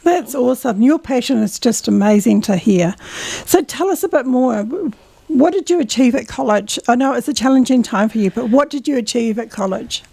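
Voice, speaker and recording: High-voiced upbeat woman host. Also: lively intonation, moderate pace, creaky, mellow. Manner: upbeat, encouraging questions. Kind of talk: community TV interview.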